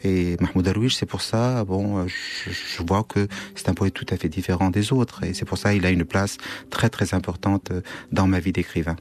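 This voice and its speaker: Radio guest, man, lowish voice, halting and searching for words. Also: steady tone. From Syria. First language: Kurdish. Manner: hesitant, many restarts and fillers. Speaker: guest writer. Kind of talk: radio interview.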